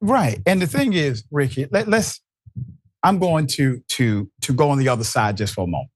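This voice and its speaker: A man's voice: medium pitch, big pitch swings, normal speed, dry recording, clean studio sound. A man hosting a news commentary show, reading reports and then arguing his view with rising emphasis. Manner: emphatic, rising to stress points. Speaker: host.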